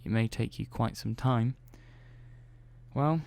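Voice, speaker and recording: A man playing a calm, methodical robot engineer in an ASMR roleplay, speaking softly and slowly with hesitant pauses. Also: medium pitch, gravelly. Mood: reassuring. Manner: soft-spoken, slow and hesitant, many pauses and "well"s.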